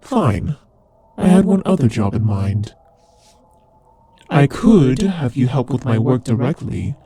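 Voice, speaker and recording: A man's voice: medium pitch, big pitch swings, slow pace, dark tone. A man playing a teasing, sardonic demon ruler of hell in a scripted roleplay audio drama, with a conversational, theatrical delivery. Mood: matter-of-fact, menacing.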